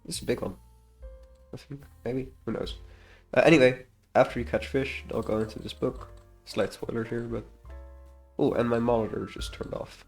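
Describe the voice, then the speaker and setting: A man's voice: mid-range voice, little pitch movement, normal speed, faint music behind. A man with a slightly congested voice gives casual, unscripted commentary as a game developer, rambling hesitantly and often trailing off mid-thought. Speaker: indie game developer presenting a devlog.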